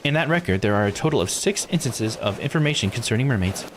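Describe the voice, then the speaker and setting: A man voicing a bright, courteous local guide from a visual novel, reciting lore with polite enthusiasm. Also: medium pitch, lively intonation, fast, noisy background, natural voice. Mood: informative, earnest. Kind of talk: visual novel character read aloud. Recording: game music under the voice.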